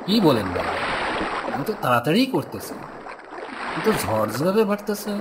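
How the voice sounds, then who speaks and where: Anxious rustic boatman over loud water man acting. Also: a little high. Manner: folksy, colloquial, urgent. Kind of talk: cartoon voice acting. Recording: loud storm and river noise.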